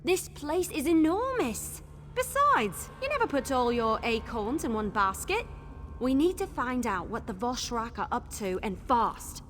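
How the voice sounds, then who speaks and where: An actress voicing a spirited, chatty and mischievous young female scout in a video game, with an expressive delivery. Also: fairly high pitch, unhurried. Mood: brisk, earnest. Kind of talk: video game character acting. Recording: game dialogue over background music.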